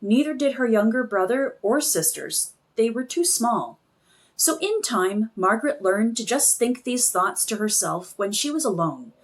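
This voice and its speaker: Woman, children's read-aloud, expressive storyteller, slightly high voice, very animated intonation. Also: a little slow. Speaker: children's book reader.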